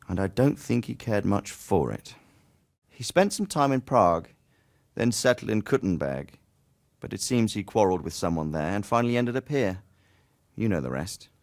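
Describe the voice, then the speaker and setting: A worldly, fatherly medieval lord in middle age. His delivery is formal and reflective, with pitch that moves freely through each thought. The recording is dry and close, suited to game dialogue. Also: no echo. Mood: fatherly, reminiscent, then reflective, subdued. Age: middle-aged. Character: measured, worldly medieval nobleman, fatherly and dignified. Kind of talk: video game voice acting.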